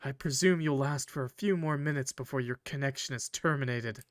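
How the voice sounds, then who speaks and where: A man voicing a possessive, coldly polite villain from a visual novel, speaking formally and theatrically in measured, condescending phrases. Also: mid-range voice, no echo, studio quality, lightly acted. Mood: cold, accusing. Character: possessive, coldly polite male villain, eerie train conductor. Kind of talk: visual novel character reading.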